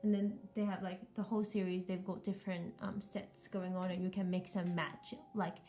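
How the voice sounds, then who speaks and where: Mellow-voiced woman hosting children's storytime. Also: lowish voice, level tone, moderate pace, faint music behind. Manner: chatty, encouraging, asks children lots of questions. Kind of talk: casual live-stream chat with children.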